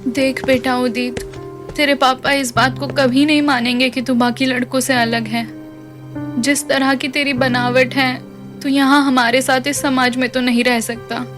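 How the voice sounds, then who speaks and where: A woman's voice: sits high, little pitch movement, unhurried, music in the background. A woman playing an anxious, scolding middle-aged mother in a Hindi audio drama. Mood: grave.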